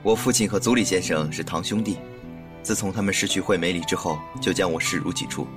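A man playing a gentle, intimate young husband with an obsessive streak in an audio drama. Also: deep voice, fast talker, over music, natural voice. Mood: reassuring. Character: soft-spoken, obsessive young husband fixated on dolls. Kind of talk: Mandarin audio drama acting.

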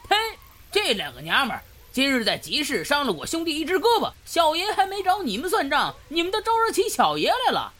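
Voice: sits high, wildly swooping pitch, a little fast, a bit bright, heavily acted. An actor as a brash, swaggering bandit ruffian. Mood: swaggering, threatening. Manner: coarse, swaggering, cursing. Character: brash, cursing male bandit ruffian, defiant and proud. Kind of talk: Chinese martial-arts audio drama.

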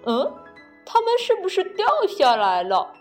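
A woman's voice: high voice, wildly swooping pitch, a little slow, faint music behind, voice pushed up, full character voice. A woman voicing a small, cheerful and curious male frog in a children's storybook reading. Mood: dreamy, wondering.

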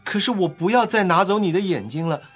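Man voicing small storybook swallow, fairly high voice, earnest, kindhearted, loyal, faint music behind. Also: lively intonation, fast talker, breathy edge. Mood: reluctant, concerned. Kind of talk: dramatised fairy-tale storybook acting.

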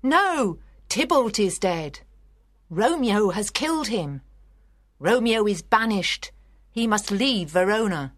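A loyal older nurse, played by a woman in a dramatised tragedy. She is sorrowful and plain-spoken, giving weight to slow, unhurried words. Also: mid-range voice, expressive, a bit bright, no echo, studio quality. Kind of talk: dramatised audiobook of a classic tragedy.

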